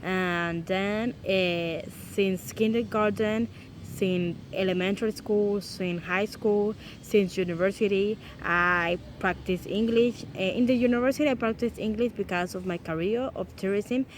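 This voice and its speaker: A young woman from El Salvador with a Spanish accent, speaking English in a casual, earnest vlog full of pauses and "eh" fillers. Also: mid-range voice, a little hiss or hum. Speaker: vlogger.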